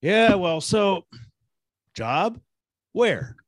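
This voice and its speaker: A man's voice: high-pitched, sing-song, extremely slow, dry recording, clean studio sound, exaggerated voice. A man playing a wry, exasperated, wisecracking private detective boss in an old-time radio show, with a sardonic, hard-boiled manner.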